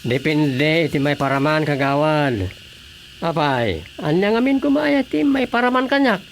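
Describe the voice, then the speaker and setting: A man's voice: a little high, animated, a little slow. Sly, nosy male comic role, Ilocano accent, questioning and teasing, radio drama, slightly noisy recording. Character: nosy, teasing man needling a village councilor.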